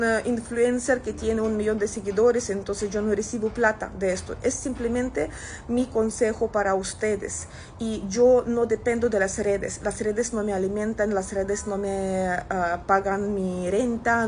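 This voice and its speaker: A woman's voice: medium pitch, a little mellow. Russian-accented woman chatting over background noise. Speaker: social media content creator. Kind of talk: casual chat to camera, replying to comments. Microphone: phone at arm's length.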